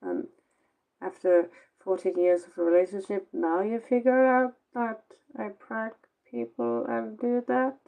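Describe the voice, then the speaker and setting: A woman's voice: on the high side, fairly even intonation, unhurried, vocal fry, dark tone, low-quality recording. A Norwegian-accented woman, a native Norwegian speaker, giving a casual late-night tarot reading in a blunt, sarcastic, rambling way, sounding tired. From Norway. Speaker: tarot reader.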